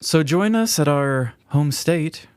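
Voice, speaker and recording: A man's voice: fairly high pitch, expressive, slow, smooth, no echo, studio quality. A man from Missouri with an American accent, chatting and telling stories casually as a comedic podcast co-host. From Missouri, United States. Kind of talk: casual comedic chat and storytelling.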